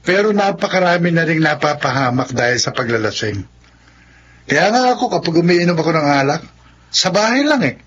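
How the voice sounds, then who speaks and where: A man's voice: a little high, animated, a little fast, fairly bright tone, husky, dull audio. An actor playing a sensible, good-natured male friend in a radio drama, easygoing as he gives advice. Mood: friendly, advising.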